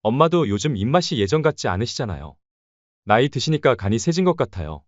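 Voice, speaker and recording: Inconsiderate grown son, played by a man, audio story; brisk, animated intonation, matter-of-fact tone. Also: mid-range voice, no room sound, muffled recording, close to an everyday voice. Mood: dismissive. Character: inconsiderate grown son talking to his mother. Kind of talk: audio story drama dialogue.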